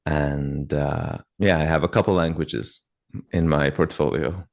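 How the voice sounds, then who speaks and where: A man in his thirties, raised in Hungary with Georgian as his first language, speaking as a guest pianist in a calm, measured, polite way with frequent fillers. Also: extremely low pitch. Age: 30s. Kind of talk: interview conversation.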